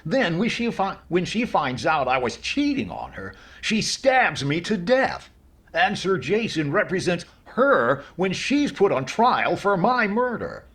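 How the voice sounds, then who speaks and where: A man's voice: sits high, very animated, a bit bright, full character voice. A man playing a wry, self-satisfied comedian telling his tale in an old-time radio comedy. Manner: wry, measured storytelling.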